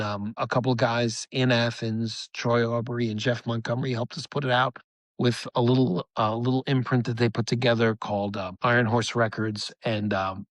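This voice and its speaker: A man's voice: mid-range voice, dry recording. Middle-aged man, rambling and enthusiastic, dry recording. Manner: enthusiastic, rambling, self-interrupting storytelling. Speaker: rock musician, podcast guest. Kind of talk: casual interview chat.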